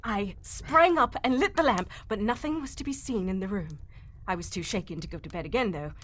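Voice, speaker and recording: A woman's voice: mid-range voice, animated, a little fast, fairly bright tone. A woman playing a frightened young client in a live 1940s-style radio mystery, speaking in a hesitant, melodramatic way. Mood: fearful. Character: Miss Stoner, frightened young woman client seeking help. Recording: faint background noise, live performance.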